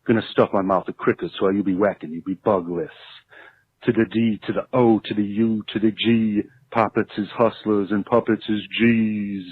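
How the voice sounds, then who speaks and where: A narrow, phone-like recording of a man rapping. His voice sits fairly low and he rhymes with comic swagger. The sound is dry and tinny. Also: no room sound. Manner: rhythmic, swaggering, rhyming. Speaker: guest rapper. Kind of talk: spoken comic rap.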